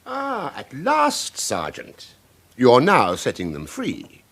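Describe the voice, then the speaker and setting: An actor playing a haughty, indignant British lawyer in a radio drama, formal and imperious. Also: male voice, mid-range voice, huge pitch swings, slow, fairly bright tone, breathy edge, full character voice. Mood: impatient, haughty. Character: haughty, indignant male lawyer demanding his clients.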